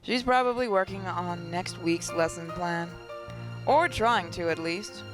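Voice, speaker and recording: A woman's voice: fairly low pitch, unhurried, music in the background. A woman reading a visual novel's narration aloud, now and then stumbling and rereading a phrase. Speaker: gaming channel host.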